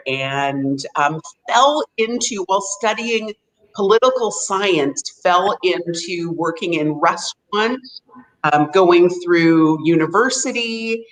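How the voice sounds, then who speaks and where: A woman in her forties with a Canadian accent, talking slowly and in a lowish voice. She rambles warmly through her story on a video call, dropping plenty of fillers as she goes. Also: rough recording. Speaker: guest, hotel sales representative. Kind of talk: casual interview chat.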